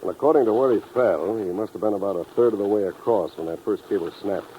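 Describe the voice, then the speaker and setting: A man's voice: fairly low pitch, fast, highs cut off, close to an everyday voice. A man playing a sober, plain-spoken American small-town sheriff who muses aloud in a 1950s radio drama. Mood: reflective. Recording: old radio, faint noise, outdoor birds.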